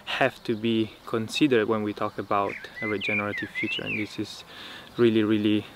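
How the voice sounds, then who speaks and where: A young man, a permaculture teacher, speaking in a relaxed, thoughtful way with frequent fillers, recorded outdoors in a dry rural field. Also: on the low side, a little fast. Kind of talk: casual interview talk. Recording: outdoor countryside noise. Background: birds and insects in the countryside.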